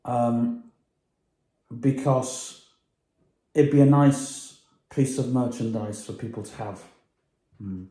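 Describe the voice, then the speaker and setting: Man, casual vlog chat, mellow voice, hesitant and rambling, echoey room. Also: mid-range voice, slow, pauses often. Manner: rambling, hesitant, many fillers. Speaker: vlogger.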